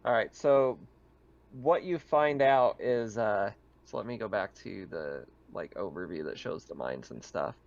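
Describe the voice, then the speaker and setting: Creaky-voiced man running a tabletop game. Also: lowish voice, animated, dull audio. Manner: halting, thinking aloud as they go. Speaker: game master. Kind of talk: casual tabletop game narration and chat.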